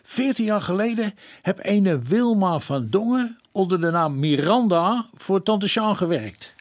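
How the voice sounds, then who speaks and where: A man's voice: high voice, expressive, measured pace. A man playing a folksy, plain-spoken police detective who defers to his inspector in a radio drama. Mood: excited.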